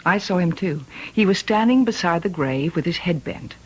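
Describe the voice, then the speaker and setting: A woman acting as a composed but worried confidante in an old-time radio mystery, speaking earnestly and deliberately. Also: fairly low pitch, expressive, slightly rough, poor audio. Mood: earnest confiding. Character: composed, worried woman confiding about her unstable housemate. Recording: old radio drama recording.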